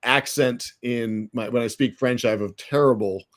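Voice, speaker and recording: A man's voice: medium pitch, normal speed. A middle-aged man with an American accent, a guest in a casual interview, telling stories with many fillers and restarts. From United States. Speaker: podcast guest, entrepreneur.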